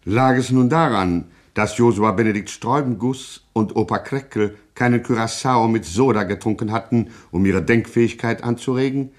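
A man delivering wry, measured scripted narration for a satirical radio play. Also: mid-range voice.

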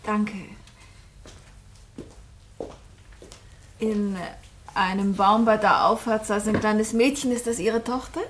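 Tactful visiting lady writer played by a woman, medium pitch, courteous, gently hesitant. Radio drama, slight noise. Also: flat delivery, measured pace, lightly acted. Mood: pleasant. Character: polite, tactful woman novelist paying a visit. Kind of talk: German radio play dialogue.

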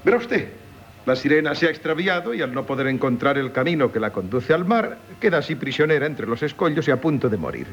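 Lively, chatty Castilian painter. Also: male voice, fairly high pitch, fast. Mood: chatty, affable. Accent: Castilian Spanish. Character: chatty, courteous male painter, long settled in town. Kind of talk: 1970s Spanish radio drama acting. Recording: dull, noisy 1970s radio recording.

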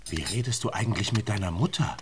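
A man's voice: fairly low pitch, quick pace, slight background noise, lightly acted. An actor playing a strict but awkward stepfather who speaks reproachfully in a radio drama. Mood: stern.

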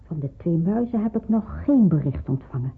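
An actress in a Dutch radio drama playing a caring, devout middle-aged pastor's wife, practical and reassuring, with a husky voice and slight hesitations. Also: female voice, lowish voice, animated, normal speed, full character voice. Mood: concerned, practical. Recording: muffled, phone-like radio play recording.

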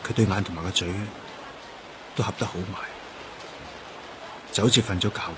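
A man's voice: low voice, a little fast, background noise, lightly acted. A man acting in a Cantonese radio drama with a Hong Kong accent, playing a grief-stricken police detective who also narrates. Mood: numb, sorrowful. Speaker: actor.

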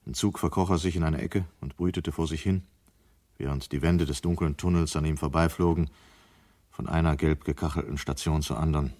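Low-voiced male radio drama narrator. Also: steady tone, brisk, darkish tone.

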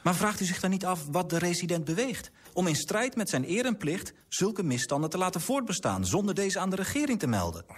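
An actor in a radio drama playing an earnest, idealistic clerk, formal and articulate, politely persistent. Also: male voice, high-pitched, big pitch swings, a little fast, few pauses, husky, full character voice.

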